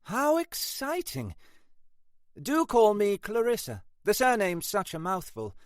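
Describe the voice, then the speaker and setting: A man's voice: high-pitched, huge pitch swings, slow pace, no room sound, clean studio sound, pitched above the performer's own voice, full character voice. A man voicing a posh, fussy lady of the house who gushes like a genteel hostess. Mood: gushing.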